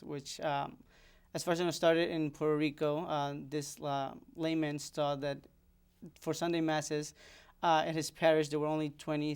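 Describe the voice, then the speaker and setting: A man's voice: a little high, a little mellow, clear, resonant tone. A young man from Ecuador, a seminarian guest talking earnestly with many fillers and restarts.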